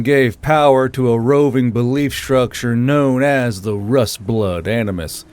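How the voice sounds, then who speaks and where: A man's voice: medium pitch, darkish tone. A man reading scripted narration for a fantasy audiobook as its narrator.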